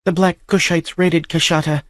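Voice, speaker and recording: A man's voice: sits high, steady tone, moderate pace, dry recording, clean studio sound, pitched above the performer's own voice, close to an everyday voice. A man voicing a desperate young noblewoman. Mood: hurried, grieving. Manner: breathless, urgent pleading. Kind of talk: audiobook character voice.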